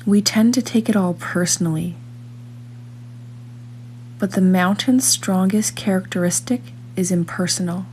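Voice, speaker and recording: A woman reading a scripted guided meditation in a calm, measured manner, leaving long pauses between phrases. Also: mid-range voice, slight background noise.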